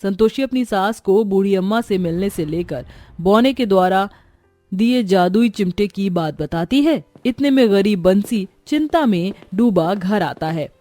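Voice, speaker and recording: Female narrator for animated story, mid-range pitch, scripted delivery, very dry recording with light music. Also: unhurried, dry recording.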